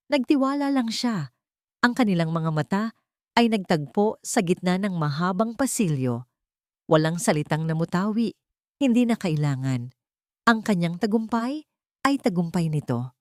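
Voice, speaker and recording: A woman's voice: medium pitch, darkish tone, dry recording, clean studio sound. A woman storyteller reading a scripted story as dramatic narration.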